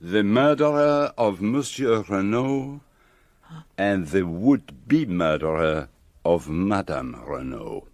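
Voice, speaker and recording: An actor playing a shrewd, courteous, middle-aged detective in a radio drama, with a French-speaking Belgian accent and formal, precise phrasing. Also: male voice, low voice, expressive, slow pace, a little mellow, no room sound, heavily acted. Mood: grave, explaining.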